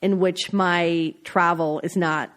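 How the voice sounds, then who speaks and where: A woman's voice: on the low side, steady tone, slow pace, a bit bright. A middle-aged woman, a foreign correspondent, chatting casually as a podcast guest and laughing often between her points. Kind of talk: casual interview chat.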